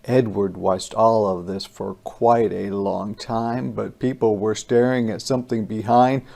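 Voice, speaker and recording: A storybook reader delivering a rhyming children's tale with theatrical, deliberate phrasing. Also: mid-range voice, steady tone, creaky, dark tone. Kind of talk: dramatic rhyming children's read-aloud.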